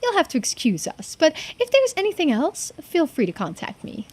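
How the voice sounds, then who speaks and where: A woman voicing a composed female paranormal investigator with a formal, measured manner in a game. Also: high voice, huge pitch swings, darkish tone, voice pushed up.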